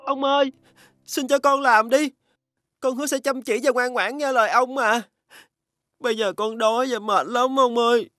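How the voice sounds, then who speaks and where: A performer voicing a polite, eager young runaway boy who pleads earnestly in an animated fairy tale. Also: mid-range voice, normal speed, dry recording, studio quality, natural voice. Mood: pleading.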